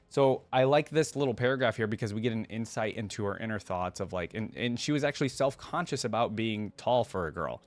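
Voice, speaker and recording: A man's voice: fairly low pitch, no echo. A man hosting a reaction video, reading a story aloud and adding casual, conversational commentary.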